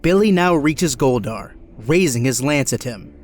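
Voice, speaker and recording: A man's voice: medium pitch, lively intonation, a little slow, darkish tone. A man giving dramatic scripted narration as the narrator of an action audio drama.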